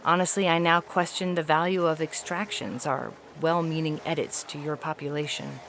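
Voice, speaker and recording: A woman voicing a haughty, weary feline alien ambassador in a science fiction audio story, speaking in a formal, measured, articulate way. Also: deep voice, steady tone, fairly bright tone, a little hiss or hum. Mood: grave disappointment. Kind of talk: dramatic reading of an epistolary science fiction story.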